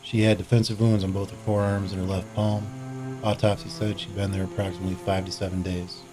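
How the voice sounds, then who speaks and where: A man's voice: low voice, level tone, quick pace, music in the background, close to an everyday voice. A man playing a weary, sardonic police detective in a noir audio drama, talking in a dry, world-weary mutter. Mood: grim, narrating. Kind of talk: noir radio drama acting.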